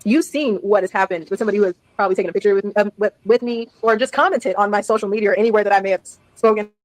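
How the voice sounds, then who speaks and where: A woman's voice: medium pitch, racing, no echo, rough recording. A woman casually telling a story on a stream, chatty, laughing often and acting out the dialogue.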